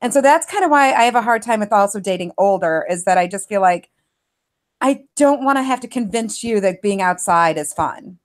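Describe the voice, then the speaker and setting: A woman in her forties chatting animatedly on a video call, listing examples quickly. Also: medium pitch, lively intonation. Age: 40s. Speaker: podcast host. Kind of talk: casual chat.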